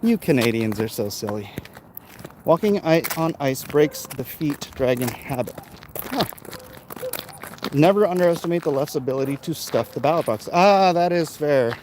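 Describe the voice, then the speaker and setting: Guy in his 40s rambling on a walking livestream, lively up and down voice, phone mic outside, bit of street noise. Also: a little high. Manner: rambling, halting, breaking off to talk to people with him. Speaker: livestream host, artist. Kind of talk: casual livestream chat while walking outdoors, reading viewer comments aloud. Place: outdoors, walking along a street. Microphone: handheld phone.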